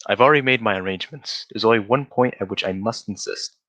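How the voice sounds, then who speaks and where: An actor playing a brisk, self-assured gentleman detective in an old-style radio drama, with precise, formal diction. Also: fairly low pitch, dull audio. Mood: brisk, commanding. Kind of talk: 1940s radio drama acting.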